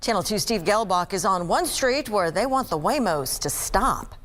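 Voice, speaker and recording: A woman's voice: mid-range voice, animated, moderate pace, fairly bright tone. A woman reading a scripted introduction as a television news anchor.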